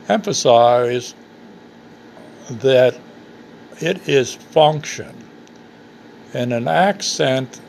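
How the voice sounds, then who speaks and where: An American man from the Upper Midwest, an English teacher giving an earnest, measured talk that poses questions and answers them. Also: mid-range voice, creaky, a little mellow, slight background noise. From United States. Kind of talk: reflective talk to camera.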